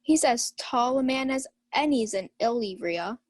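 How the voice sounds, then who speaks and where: A carousing knight and uncle in a radio Shakespeare play, acted by a woman. The voice is rather high and recorded dry. The delivery is blustering and defiant, all tavern bravado. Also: animated, measured pace, dry recording. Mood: boastful. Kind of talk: student Shakespeare radio acting.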